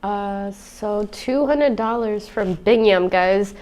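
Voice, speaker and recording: Woman co-host urging bids, reads donations, medium pitch. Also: slow, darkish tone. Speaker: auction co-host. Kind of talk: livestream charity auction.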